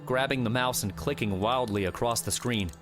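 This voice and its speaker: A man's voice: medium pitch, normal speed, over music. A man reading a scripted adventure audiobook as a dramatic narrator.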